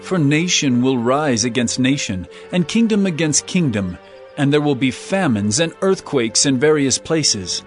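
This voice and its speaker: An actor playing a solemn, authoritative holy teacher prophesying in an earnest, declamatory way in a dramatized audio Bible. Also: male voice, medium pitch, animated, a little slow, faint music behind, lightly acted. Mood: solemn warning.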